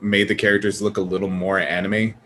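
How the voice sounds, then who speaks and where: A man co-hosting a casual panel chat about movies, animated and swearing freely, acting out studio voices. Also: lowish voice, brisk, a little hiss or hum. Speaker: podcast co-host.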